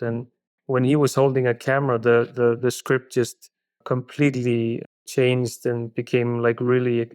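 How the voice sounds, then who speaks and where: Smooth-voiced man with an Icelandic first language. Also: medium pitch, no echo, clean studio sound. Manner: thoughtful, halting, many restarts. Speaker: film director guest. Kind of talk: reflective interview answers.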